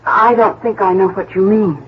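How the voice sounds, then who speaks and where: An American actress playing a brisk, bold, unsentimental wife in an old-time radio drama, forward and slangy. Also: female voice, mid-range voice, lightly acted. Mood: cool, bitter. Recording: muffled vintage radio broadcast with faint noise.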